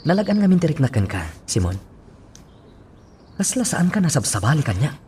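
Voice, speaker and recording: A man's voice: on the high side, sing-song, fairly quick, darkish tone, a little hiss or hum. A man with an Ilocano accent playing an earnest, dutiful policeman in a radio drama. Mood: grateful, dutiful.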